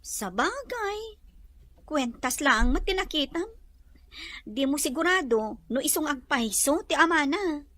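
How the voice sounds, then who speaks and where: High, animated, scornful woman acted out. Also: unhurried, pauses often, dry recording, exaggerated voice. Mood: prying, teasing. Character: scorned, vengeful woman in a family drama. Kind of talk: Ilocano radio-style drama acting.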